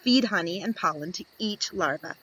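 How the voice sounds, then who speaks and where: A woman reading a picture book aloud to young children, expressive and instructive, putting questions to her listeners and answering them. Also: on the low side, moderate pace, husky, rough recording.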